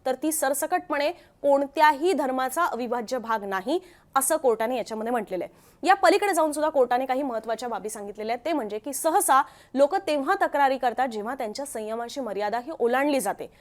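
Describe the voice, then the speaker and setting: A woman with a distinctly high voice and a Maharashtrian accent presents the news. She speaks as an explainer, stressing and repeating the key points as she goes. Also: expressive, fast.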